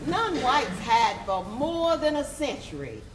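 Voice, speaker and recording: A woman reading scripted theatrical narration on stage in a measured, emphatic way. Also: high voice, sing-song, noisy background. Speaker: stage reader. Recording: echoey room, distant mic, audience responses.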